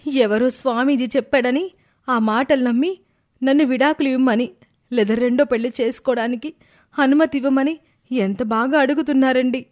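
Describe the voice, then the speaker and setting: A woman's voice: high voice, normal speed, darkish tone, pitched above the performer's own voice, natural voice. Woman playing a wronged, resolute young wife. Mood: shocked, defiant. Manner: earnest, protesting.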